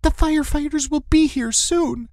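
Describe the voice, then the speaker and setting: A man voicing a frightened, excitable young girl in a children's fantasy audiobook, breathless and urgent. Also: extremely high pitch, normal speed, dry recording, clean studio sound, pitched above the performer's own voice. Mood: panicked screaming.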